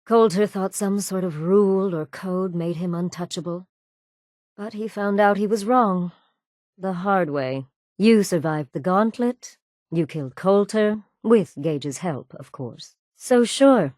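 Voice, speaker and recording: An actress voicing a ruthless, menacing raider gang leader in a video game, cold, commanding and sardonic. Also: female voice, on the low side, warm tone, no room sound, clean studio sound. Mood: cool, challenging. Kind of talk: video game character dialogue.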